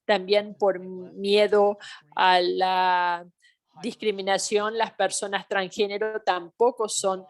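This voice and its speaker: A woman simultaneously interpreting a health training webinar, speaking in halting phrases with pauses and self-corrections. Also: medium pitch, level tone. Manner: halting delivery with pauses and restarts.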